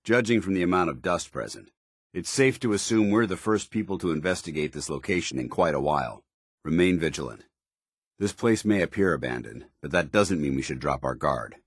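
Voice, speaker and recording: Video game soldier: stern, disciplined, formal, low-pitched and husky, with varied intonation. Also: male voice, expressive, brisk, no echo, studio quality. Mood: wary. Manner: formal, military, clipped. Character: stern, disciplined soldier in power armor, middle-aged man. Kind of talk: scripted video game dialogue.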